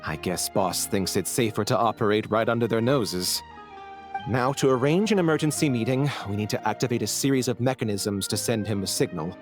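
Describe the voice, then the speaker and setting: A man's voice: fairly low pitch, lively intonation, fairly quick, natural voice. A man voicing a nervous male go-between turned double agent in a video game, who explains at length in a hesitant, slightly uneasy way. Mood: explaining. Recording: game audio with faint music underneath.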